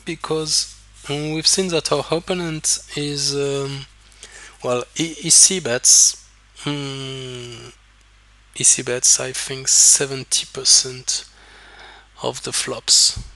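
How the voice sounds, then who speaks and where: Man, French accent, poker coach, slow unhurried delivery, bright forceful tone, halting and repetitive phrasing. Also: on the high side. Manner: halting, repeats words while thinking aloud. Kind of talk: explaining hands over screen recording.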